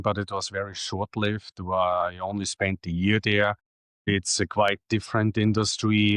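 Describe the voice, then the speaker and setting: A middle-aged Austrian man whose first language is German speaks in a very low voice with a clear Austrian German accent. He answers questions plainly and matter-of-factly, often pausing on "uh". The recording is close and dry, with almost no room sound. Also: fairly bright tone, no room sound. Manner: plain, matter-of-fact, with frequent "uh" pauses. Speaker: guest. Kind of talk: casual interview answers.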